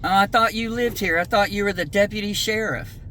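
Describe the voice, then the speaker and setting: A man's voice: high voice, fairly quick, pushed and bright, a little hiss or hum. A man taking a phone call, questioning the caller in a wary, probing way. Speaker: person receiving a scam call.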